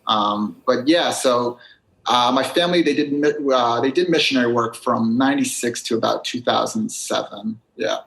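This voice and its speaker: Young guy from new england chatting as a guest, rambly, quick bursts of words, voice right in the middle. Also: mid-range voice, creaky, a bit bright, rough recording. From United States (New Hampshire, Maine). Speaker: guest vlogger. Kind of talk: casual interview chat.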